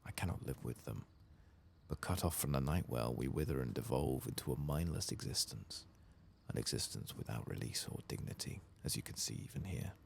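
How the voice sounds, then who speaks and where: Casting: man as ashamed exiled elf, grave and formal, very low creaky soft delivery, fantasy game dialogue. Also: animated, a little fast, a little hiss or hum, exaggerated voice. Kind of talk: game quest dialogue read aloud softly.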